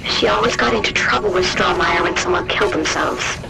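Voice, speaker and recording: A woman's voice: lowish voice, brisk, gravelly, rough recording. A woman playing an earnest, trusting technician chatting in a sci-fi video game. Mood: curious. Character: trusting, earnest female technician, eager and relieved. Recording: music and ambience behind voice.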